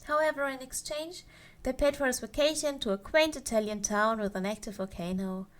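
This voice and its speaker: A woman performs a yandere Christmas elf in an audio roleplay, her voice a little high. She is playful and sweet on the surface, coyly menacing and possessive underneath, and she lingers over her phrases as she talks straight to the listener. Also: fairly quick, close to an everyday voice. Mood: bright, possessive. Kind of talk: ASMR roleplay monologue to the listener.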